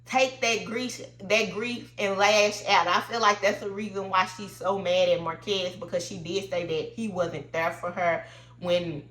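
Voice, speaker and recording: A woman's voice: mid-range voice, fairly even intonation, measured pace, fairly bright tone, reverberant. Stuffy-nosed woman chatting about reality TV. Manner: chatty, opinionated, loose and informal. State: congested, stuffy nose. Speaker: reality TV recap host. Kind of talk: casual commentary.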